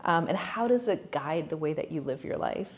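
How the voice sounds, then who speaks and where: A woman's voice: deep voice, animated, moderate pace. A woman hosting an interview series, asking questions and encouraging her guest. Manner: encouraging, affirming with "yeah" and "right". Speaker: interviewer, school series host. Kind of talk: friendly interview.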